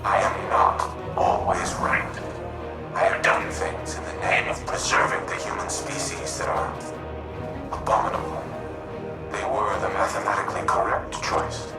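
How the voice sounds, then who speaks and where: A man voicing a grave, dutiful artificial intelligence in a video game, speaking in formal, measured, analytical phrases. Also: on the low side, monotone, gravelly. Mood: remorseful, reflective. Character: grave male warmind AI in a robotic body, remorseful and dutiful. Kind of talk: scripted video game dialogue. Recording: echoing voice under loud music.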